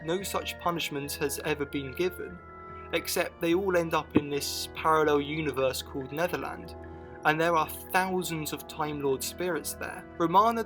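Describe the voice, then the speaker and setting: Higher-pitched male video essay narrator. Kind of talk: scripted retrospective narration with personal opinions. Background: music bed.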